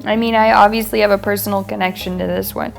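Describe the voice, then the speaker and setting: A woman's voice: medium pitch, level tone, fast talker, creaky. Female guest painter, casual and outspoken, mid-range voice over faint music. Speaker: guest painter reviewing photographs. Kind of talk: casual chat.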